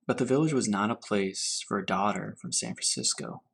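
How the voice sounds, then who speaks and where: A man reading a storybook aloud as a teacher for children, pausing to put questions to his young listeners. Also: on the low side, vocal fry, fairly bright tone, slight background noise. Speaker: teacher reading to fourth graders.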